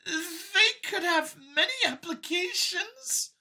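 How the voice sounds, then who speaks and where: A man's voice: extremely high pitch, slow pace, pushed and bright, pitched above the performer's own voice, heavily acted. A man voicing a timid, nervous female mouse alien scientist from an audio story, stammering and hesitant. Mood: nervous, explaining.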